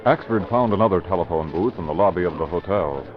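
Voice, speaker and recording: A man giving measured, grave scripted narration for a radio drama. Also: deep voice. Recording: dull vintage radio broadcast with music.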